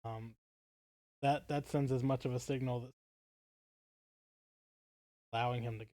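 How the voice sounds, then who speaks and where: Dry-sounding podcast co-host, androgynous pitch. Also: steady tone, unhurried, warm tone, dry recording. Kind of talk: casual podcast discussion.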